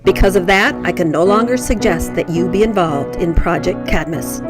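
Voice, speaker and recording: A woman's voice: fairly low pitch, moderate pace, over music, natural voice. A woman playing a curt, formal female crime boss in an audio drama. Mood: stern, menacing. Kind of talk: amateur audio drama acting.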